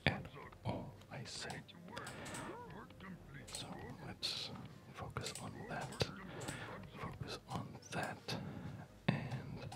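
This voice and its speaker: A person whispering ASMR commentary while playing a game, breathy and unhurried, murmuring as if to themselves. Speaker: gamer narrating own play.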